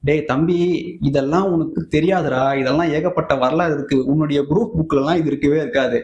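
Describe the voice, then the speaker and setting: Tamil nadu guy doing scornful political commentary, slightly high voice, combative and colloquial, roomy echo. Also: a little fast, darkish tone, muffled recording. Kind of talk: opinionated political commentary to viewers.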